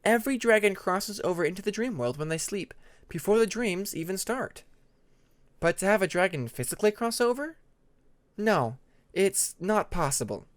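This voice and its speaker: A man voicing a patient, earnest young female dragon who explains her magic in a measured way in an audiobook. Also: high-pitched, animated, voice pushed up.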